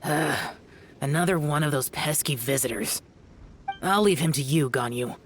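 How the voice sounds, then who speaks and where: An actor playing a stern, curt immortal mentor who is weary of visitors, in an anime-style video game. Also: male voice, a little high, normal speed, fairly bright tone, slight background noise, close to an everyday voice. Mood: stern, annoyed.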